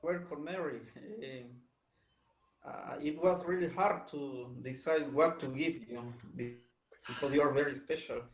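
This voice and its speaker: A man's voice: fairly high pitch, measured pace, dull audio, slight background noise. A man taking part in an online teachers' workshop, polite and earnest with hesitant restarts. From Peru. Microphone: video call. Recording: echoey room over a video call.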